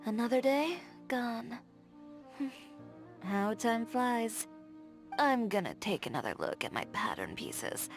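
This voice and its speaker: A woman's voice: fairly high pitch, big pitch swings, slow pace, pauses often, exaggerated voice. A woman voicing a confident, blunt young fashion designer in a video game, direct and playfully teasing. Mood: relaxed. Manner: direct, self-assured, teasing. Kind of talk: scripted video game character dialogue. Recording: background music.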